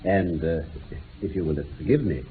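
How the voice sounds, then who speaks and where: An actor playing a self-assured gentleman detective, precise and dryly ironic, in a radio drama. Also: low-pitched, expressive, moderate pace. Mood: assured, deductive. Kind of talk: 1960s British radio drama acting. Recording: tinny, band-limited old radio recording with hiss.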